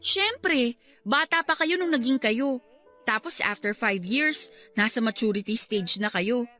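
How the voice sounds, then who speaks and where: A woman's voice: a little high, a little slow, pushed and bright, soft background music. A woman playing a candid, understanding younger sister in a radio drama, chiding and playfully teasing. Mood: frank, reasoning. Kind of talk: radio drama dialogue in Filipino.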